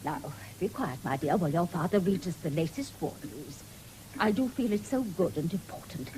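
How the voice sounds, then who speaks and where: A woman's voice: on the low side, animated, normal speed, a little mellow, natural voice, rough recording. A woman playing an effusive, genteel and patriotic middle-aged mother in a radio drama. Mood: gushing, earnest. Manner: effusive, pious, refined. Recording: 1970s radio broadcast with background noise.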